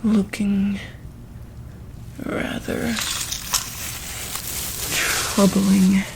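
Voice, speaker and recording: Female, soft ASMR medic roleplay, unhurried and even-toned, gentle and hesitant, noticeable background noise. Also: medium pitch. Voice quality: soft, breathy, often near whisper. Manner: hesitant, gentle, pausing often mid-sentence. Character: calm, attentive female medic examining a monster patient. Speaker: ASMR performer playing a monster hospital medic.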